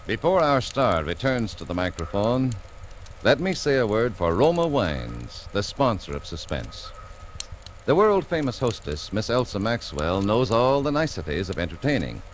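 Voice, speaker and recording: A man's voice: fairly low pitch, sing-song, normal speed. A man with an American accent reading sponsor copy as a 1940s radio announcer. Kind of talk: 1940s radio advertising read. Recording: noisy 1940s radio recording.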